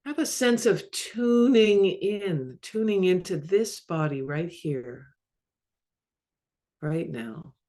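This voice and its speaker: A woman leading a guided meditation as a mindfulness coach, speaking calmly and measuredly in short phrases with long pauses. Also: on the low side. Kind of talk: guided body scan meditation.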